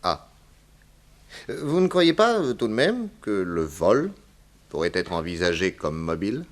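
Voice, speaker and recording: Shrewd detective, lowish voice, lively intonation, assured, wry, commanding, radio crime play. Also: male voice, measured pace. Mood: polite, probing. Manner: assured, ironic. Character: shrewd, commanding male private detective, wryly mocking. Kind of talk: 1960s French radio crime drama acting.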